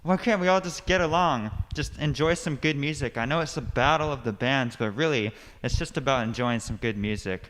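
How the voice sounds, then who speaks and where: A man's voice: mid-range voice, brisk, hardly any pauses, lightly acted. A man playing an earnest, peace-making music fan at a battle of the bands in a student radio play. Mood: pleading. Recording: noisy crowd behind the voice.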